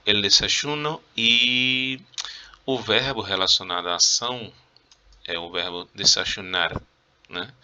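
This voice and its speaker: A man's voice: lowish voice, gravelly, bright, forceful tone, dull audio. A man with a Brazilian Portuguese accent, a Spanish teacher giving a casual, joking review lesson to his students and coughing now and then.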